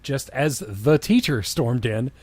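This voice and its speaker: A man's voice: medium pitch, lively intonation, takes it slowly, darkish tone, breathy edge, dry recording. A man doing a dramatic comedic reading of fan fiction, breaking into exasperated, mocking asides. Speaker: co-host of a comedy reading show.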